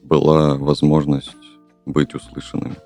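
A man's voice: very deep voice, monotone, measured pace, a little mellow, soft background music. A young man from Kazakhstan, a podcast guest talking casually and hesitantly, with many fillers and restarts. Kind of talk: casual interview talk.

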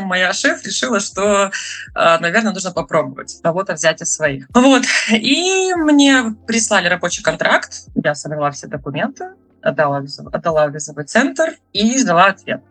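A woman's voice: medium pitch, sharp tone. A woman in her thirties from Russia, a hairdresser telling her story in a chatty, casual interview with lots of fillers. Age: 30s. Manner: chatty storyteller, many fillers, laughs often. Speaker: guest, hairdresser living abroad.

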